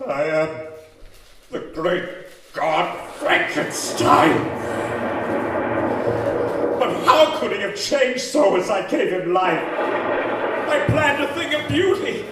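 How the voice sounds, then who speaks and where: A man's voice: sits high, exaggerated voice. Man acting a tormented young scientist, echoey hall. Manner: theatrical, impassioned, declamatory. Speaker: actor in a live radio drama. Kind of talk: old-time radio horror melodrama acting. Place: live stage in a community hall. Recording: echoey hall, distant mic, faint noise.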